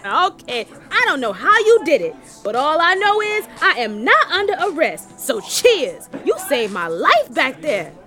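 A woman's voice: high-pitched, huge pitch swings, a little slow, few pauses, bright, forceful tone, faint music behind, full character voice. A woman acting a brash, cheeky sneak thief in an audio comedy drama, with glib, teasing, slangy patter. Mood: upbeat, cocky. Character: brash, cheeky sneak thief named Simon, sociable hustler.

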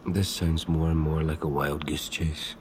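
A man's voice: a bass voice, flat delivery, unhurried, darkish tone, a little hiss or hum. An actor playing a sardonic, bewildered man in an audio drama, questioning everything in a naturalistic way. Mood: skeptical, pressing.

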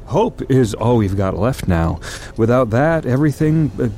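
An actor playing a nervy male official in an audio drama, coaxing and evasive, speaking haltingly and earnestly. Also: medium pitch, big pitch swings, mellow, slight background noise. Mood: pleading. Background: music.

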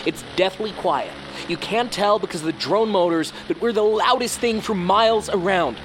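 High-pitched male news correspondent, uneasy, audio drama dispatch, faint vehicle drone behind. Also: moderate pace, a bit bright. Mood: tense, hushed awe. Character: uneasy male field reporter over a tense standoff. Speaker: field news reporter. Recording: noisy, drone motor hum. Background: vehicle motor drone.

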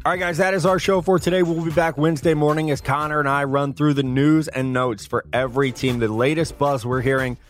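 A man hosting a podcast interview, talking in a relaxed, chatty way with quick asides. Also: fairly high pitch, soft background music. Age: adult, older than a college player. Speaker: podcast host. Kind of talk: casual interview chat.

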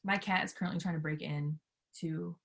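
A woman chatting casually as an interview guest, animated and playful, swearing freely as she tells anecdotes. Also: on the low side, a little fast, creaky. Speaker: guest, professional wrestler being interviewed.

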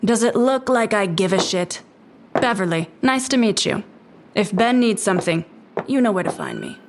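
An actress playing a defiant neighbor who is sarcastic and defensive, in an audio drama. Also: female voice, medium pitch, moderate pace, slight background noise, close to an everyday voice. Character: defiant, sharp-tongued female neighbor and dog owner.

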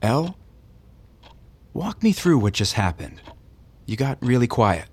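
An actress playing an experienced therapist in an audio drama, husky and composed, asking probing, direct questions. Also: female voice, extremely low pitch, sing-song, slow pace, darkish tone, heavily acted.

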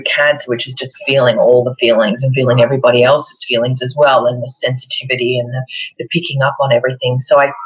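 Dull-sounding recording of a husky Australian woman. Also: a bass voice. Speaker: astrology show co-host. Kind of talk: casual reflective discussion.

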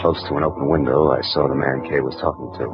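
Low hard-boiled detective narrator, wry; hissy, muffled vintage radio. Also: male voice, flat delivery, quick pace, loud music behind the voice. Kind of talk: 1940s radio acting. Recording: hissy, dull old radio broadcast.

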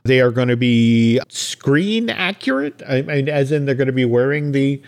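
A man's voice: mid-range voice, normal speed. A man hosting a podcast, talking in a casual, chatty way about entertainment news, often repeating words for emphasis. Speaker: podcast host.

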